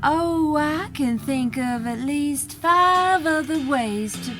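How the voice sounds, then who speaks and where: An actress plays a seductive female schemer in an audio soap opera. Her voice sits a little high and moves slowly, sly and teasing, over clear background music. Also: a bit bright. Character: scheming, seductive woman plotting a company takeover.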